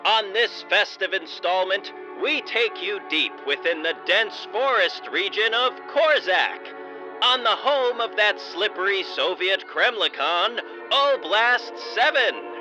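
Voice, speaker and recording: A man playing a grandiose old-time radio announcer, narrating a special with drawn-out showmanship. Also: high voice, lively intonation, over music, low-quality recording. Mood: grand, festive.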